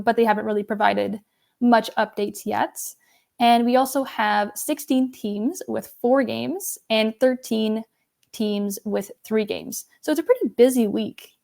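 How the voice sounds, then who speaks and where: A woman co-hosting a fantasy basketball podcast, a little hoarse, casually agreeing with her partner and adding her own tips. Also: fairly high pitch, darkish tone. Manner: agreeable, quick to back up her co-host. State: losing her voice.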